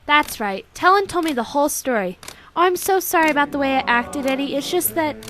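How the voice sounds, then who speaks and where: An actress playing a jealous, affectionate sweetheart in an old-time radio detective drama. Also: female voice, high voice, lively intonation, brisk, fairly bright tone, a little hiss or hum. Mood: tender, apologetic.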